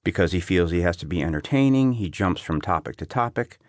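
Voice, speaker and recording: A man with a low-pitched voice narrates written prose for an audiobook. He phrases each clause clearly and keeps a measured delivery. The sound is close and dry, free of room reflections. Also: lively intonation, no room sound. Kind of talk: scripted essay narration.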